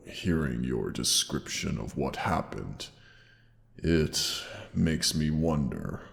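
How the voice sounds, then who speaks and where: Casting: a loving, protective dragon for audio roleplay; rumbling bottom-end pitch, slow archaic tenderness. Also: male voice, full character voice. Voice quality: very deep, gravelly. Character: caring old-world male dragon, tender protective lover. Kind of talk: intimate audio roleplay acting. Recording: long cavernous reverb.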